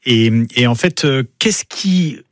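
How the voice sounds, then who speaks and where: Measured male radio interviewer, dry sound. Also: mid-range voice, lively intonation, no echo. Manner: measured, hesitant questioning. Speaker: radio co-host.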